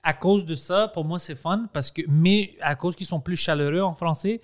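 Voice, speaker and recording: A man hosting a podcast, speaking French with a Québécois accent tinged with Greek, relaxed and chatty and quick to laugh. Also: a little high. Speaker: podcast host. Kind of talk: casual conversation.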